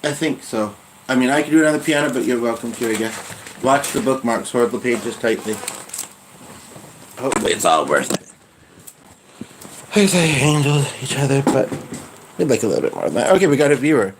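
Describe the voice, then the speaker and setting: A man chatting casually at home between songs, rambling and trailing off in a soft voice. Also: medium pitch, animated, a little fast, pauses often, background noise. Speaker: host and singer. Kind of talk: casual chat during a music session. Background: loud guitar and piano music.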